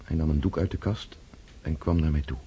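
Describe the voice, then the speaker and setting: A man's voice: very deep voice, a little fast, dark tone, muffled recording, slight background noise. An actor playing an earnest, hesitant young count in a radio drama, speaking formally as he seeks to reform himself. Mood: reflective. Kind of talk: radio drama acting and first-person narration.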